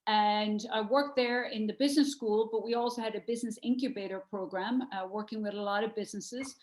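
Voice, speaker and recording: A woman's voice: mid-range voice, steady tone, a little fast, darkish tone. A middle-aged Irish woman from County Cork, a guest in an interview, talking in a friendly, chatty way with frequent fillers. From Ireland. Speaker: guest, university center director. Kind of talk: interview conversation. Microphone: video call.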